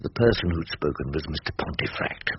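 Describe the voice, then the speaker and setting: An actor playing a theatrical old English barrister in a radio drama, wry and rhetorical, fond of quoting poetry. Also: male voice, rumbling low, lively intonation, a little fast, breathy edge, exaggerated voice. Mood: wry narration. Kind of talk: radio drama acting, part narration. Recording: dull, noisy old radio recording.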